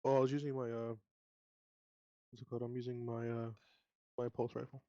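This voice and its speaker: Man, tabletop player, dark mellow voice, casual and tentative, thinking aloud, close dry slightly muffled sound. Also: medium pitch, flat delivery, fairly quick, no room sound. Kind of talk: casual chat.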